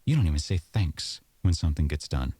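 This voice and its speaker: A man's voice: very deep voice, lively intonation, brisk, warm tone, breathy edge, no room sound, exaggerated voice. A man playing an aggrieved, sarcastic boyfriend arguing at home in an audio roleplay, accusatory and exasperated. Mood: indignant. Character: aggrieved, sarcastic boyfriend in a heated domestic argument.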